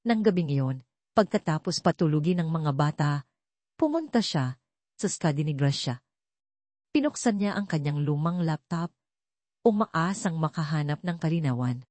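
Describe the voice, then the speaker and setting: Woman narrator, low-pitched and mellow. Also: expressive, normal speed, long pauses, no room sound. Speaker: audio story narrator. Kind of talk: scripted dramatic story narration.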